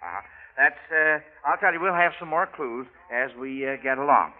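American guy hosting old radio kids quiz, highish voice, chuckly and folksy, stumbles a bit, tinny sound. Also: expressive, normal speed. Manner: hesitant, folksy, chuckling, repeats himself. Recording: narrow-band old radio broadcast.

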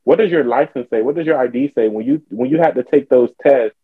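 A young man asking pointed, probing questions in a relaxed podcast chat. His voice is mid-pitched and ordinary. The audio is thin and phone-like, with no room sound. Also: brisk, breathy edge, no room sound. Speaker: podcast co-host. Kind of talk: casual chat.

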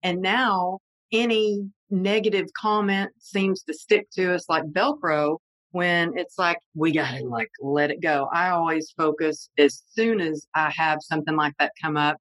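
A woman's voice: lowish voice, steady tone, a little slow, fairly bright tone, no room sound, rough recording. A woman with a Texas accent hosting an interview, reflective and encouraging as she asks questions. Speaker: podcast host. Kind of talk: interview conversation.

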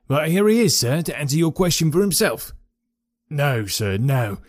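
A man's voice: fairly high pitch, sing-song, moderate pace, dry recording, clean studio sound, pitched above the performer's own voice. A man reading aloud, voicing a wary male stable groom who is brusque and then deferential.

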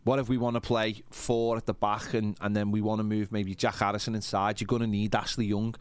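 A man's voice: fairly low pitch, level tone, a little fast, clean tone, dry recording. Male sports podcast panellist, conversational, emphatic and rambling, close dry sound. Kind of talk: casual sports chat.

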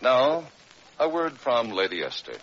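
Animated male vintage radio announcer. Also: mid-range voice, unhurried, a little hiss or hum. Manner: formal, presentational. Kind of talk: 1940s radio announcing, scripted. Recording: dull old radio recording over loud music.

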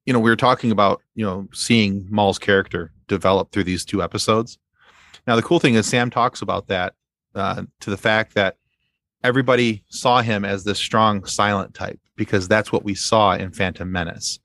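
A man's voice: lowish voice, steady tone, moderate pace, a bit bright, no room sound. A man in a casual fan discussion as a podcast guest, rambling and circling back to repeat his phrases.

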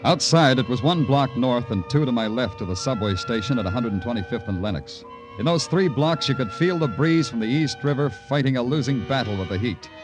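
An actor playing a weary, sardonic but compassionate police lieutenant in an old-time radio crime drama, with a wry, clipped manner. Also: male voice, medium pitch, expressive, brisk, lightly acted. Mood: brooding. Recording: vintage radio drama with music.

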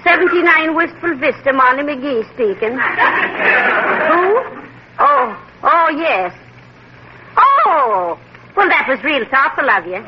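An actress playing a patient, sensible middle-aged wife in a 1940s radio comedy, gentle and motherly. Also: high-pitched, big pitch swings, slow pace, long pauses, muffled recording, exaggerated voice. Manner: gentle, motherly, calls him dearie. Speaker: sitcom co-lead. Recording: old radio broadcast with hiss.

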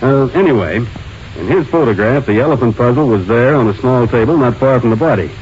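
An actor playing a shrewd, plain-spoken sheriff in an old radio drama, matter-of-fact and deliberate, on a noisy recording. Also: male voice, medium pitch, animated, darkish tone, dull audio, lightly acted. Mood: pointed, matter-of-fact. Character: plain-spoken, shrewd male county sheriff laying out evidence.

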